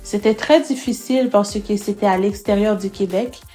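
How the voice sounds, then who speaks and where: A woman's voice: mid-range voice, normal speed, mellow, soft background music. A young woman singer, answering interview questions casually, with hesitant fillers and restarts.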